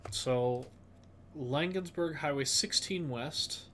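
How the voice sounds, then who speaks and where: A man with a stuffed-up nose, thinking aloud slowly with lots of fillers as he streams a geography game. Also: on the high side, a bit bright, a little hiss or hum. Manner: slow, musing, thinks aloud with many fillers. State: stuffed up, sniffly. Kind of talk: casual live-stream commentary.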